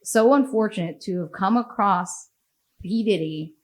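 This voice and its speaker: A woman's voice: mid-range voice, a little slow. A woman with an American accent hosting a podcast, talking in a casual, opinionated way, blunt and sweary, laughing often. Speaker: podcast host.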